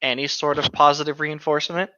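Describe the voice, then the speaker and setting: A man's voice: on the high side, fairly even intonation, quick pace, sharp tone, smooth, dry recording, highs cut off. A man chatting casually and candidly on a podcast, telling rambling personal stories with some swearing. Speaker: co-host of a reading podcast. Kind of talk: casual chat with anecdotes.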